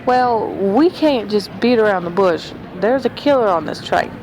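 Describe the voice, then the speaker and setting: A woman's voice: expressive, unhurried, lightly acted. An actress playing a bold, inquisitive lady amateur sleuth on a train in an audio drama, direct and questioning. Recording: clear vehicle-like background rumble.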